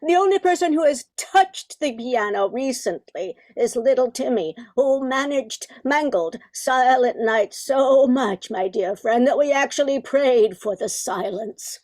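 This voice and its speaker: A woman's voice: high-pitched, animated, measured pace, few pauses, a little mellow. A woman playing a wistful, prim Christmas carol come to life, lamenting genteelly in an amateur radio comedy. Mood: exasperated, fretful. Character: wistful, fretful female Christmas carol personified, nostalgic and prim. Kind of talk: amateur old-time radio comedy acting.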